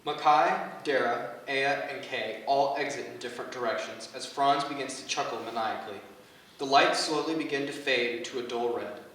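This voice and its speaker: Guy reading stage directions, mid pitch, really echoey hall, bit far from the mic, faint noise. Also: normal speed, fairly bright tone. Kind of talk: scripted narration at a staged reading.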